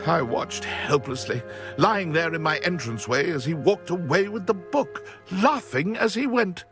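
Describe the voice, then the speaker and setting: A man's voice: fairly high pitch, expressive, normal speed, over music. An actor voicing a shaken, resigned male victim in a video game cutscene.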